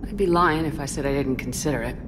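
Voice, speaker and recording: An actress playing a terse, steady female starship commander in a video game. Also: low-pitched, fast talker, noisy background, natural voice. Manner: terse, level. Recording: game audio over music.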